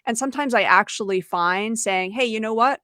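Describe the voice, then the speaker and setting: A woman's voice: fairly high pitch, fairly quick, bright, forceful tone, dry recording, studio quality. A woman co-hosting a webinar for teachers, explaining conversationally and voicing imagined quotes as she goes. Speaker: webinar co-host, educator.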